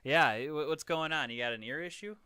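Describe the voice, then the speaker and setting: A young man hosting a casual podcast, easygoing and quick to laugh. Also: fairly high pitch, sharp tone, clear, resonant tone, no echo, studio quality. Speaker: podcast host.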